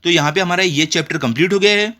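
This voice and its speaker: A man's voice: high voice, fast talker, bright, forceful tone. A man with an Indian accent explaining a school lesson to children, instructively, with frequent rhetorical questions and check phrases. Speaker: school tutor. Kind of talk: explanatory lesson for children, reading textbook and translating.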